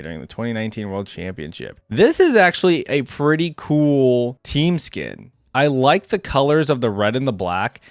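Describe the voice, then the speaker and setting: Man with very animated, swinging pitch. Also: no echo, studio quality. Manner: rambling, thinking aloud. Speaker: video game reaction host. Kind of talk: casual off-the-cuff reaction commentary.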